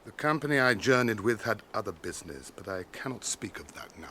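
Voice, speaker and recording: Noble stern ranger, bright forceful voice, sweeping intonation, declamatory radio drama, some background noise. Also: male voice, lowish voice, expressive, a little fast. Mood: grave, urgent. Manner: commanding, formal, declamatory. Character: noble, stern wandering ranger, heir to kings. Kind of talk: radio fantasy drama acting.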